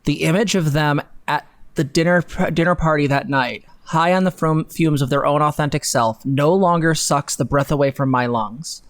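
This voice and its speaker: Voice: mid-range voice, moderate pace. A young adult livestream co-host speaking bluntly and emphatically into a close desk mic, sarcastic and casually sweary. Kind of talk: dramatic reading and opinionated reaction commentary. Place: small home room, indoors. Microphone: close desk microphone on boom arm.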